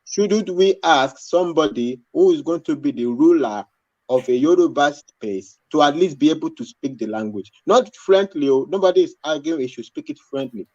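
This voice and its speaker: A man's voice: on the high side, lively intonation, moderate pace, a little mellow, dry recording. A man making his case on a live panel, insistent and repeating his points. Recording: dull lo-fi sound.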